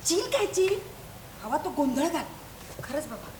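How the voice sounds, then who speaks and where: A woman acting in an amateur stage play as an excitable reunion guest who is quick to scold. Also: sits high. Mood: annoyed, scolding. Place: stage in an echoey hall. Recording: echoey hall, distant mic, background noise.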